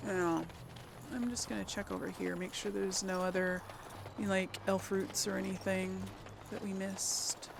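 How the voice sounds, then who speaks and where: A woman's voice: mid-range voice, flat delivery, moderate pace, creaky, background noise. A woman giving casual, chatty game commentary, talking to herself and to the characters as she plays. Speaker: let's play host. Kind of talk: casual live gameplay commentary. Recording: game music under the voice. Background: video game music.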